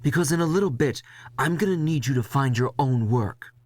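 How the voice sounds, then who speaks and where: A man acts out an exasperated crew boss in a supernatural audio drama. He lectures in a commanding, dismissive way, his voice pushed up in pitch, in a close, dry recording. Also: animated, brisk, a little mellow, no room sound, close to an everyday voice. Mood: stern, urgent.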